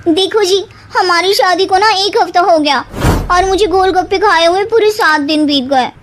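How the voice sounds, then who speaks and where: Cartoon newlywed wife, woman performer: very shrill-high pitch, dramatic, whiny, quarrelsome, spirited. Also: moderate pace, background noise. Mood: indignant, stubborn. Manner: whiny, theatrical, argumentative. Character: feisty, dramatic young newlywed wife obsessed with snacks. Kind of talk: animated moral story acting.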